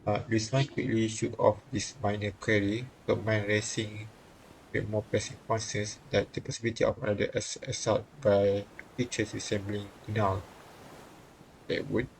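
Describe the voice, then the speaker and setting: Low-voiced man reading aloud, stumbling over words. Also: flat delivery, normal speed, creaky, a little hiss or hum, low-quality recording. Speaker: streamer reading a visual novel. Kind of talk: reading game text aloud on a livestream.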